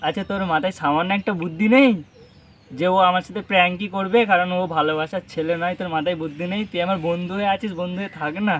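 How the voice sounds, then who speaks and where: A man's voice: sits high, fairly even intonation, racing, a bit bright. A young man chatting casually on a phone call, teasing, coaxing and pressing with questions. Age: young adult. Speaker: vlogger making a prank phone call. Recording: noisy with birds squawking behind. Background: parrot squawks and ambient noise.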